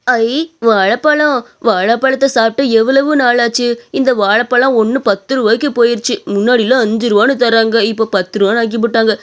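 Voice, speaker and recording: A woman's voice: on the high side, fairly even intonation, brisk, lightly acted. A woman voicing a greedy, carefree, gleeful passer-by who loves food, in a story. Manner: gleeful, smacking while eating.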